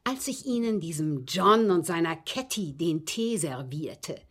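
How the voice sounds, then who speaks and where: An actress in a German radio drama playing a well-bred, abused woman confessing a poisoning to the police, phrasing deliberately and emphatically in a husky, breathy voice. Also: on the low side, expressive. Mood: confiding, intense.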